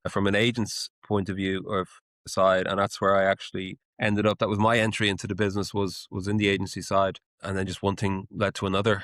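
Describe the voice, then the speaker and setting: An Irish man in his forties with a low voice answers interview questions, often pausing and restarting as he searches for words. The sound is dry and close to the mic. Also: a bit bright, no echo. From Ireland. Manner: hesitant, with many fillers and restarts. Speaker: guest, menswear brand founder. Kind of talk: casual interview.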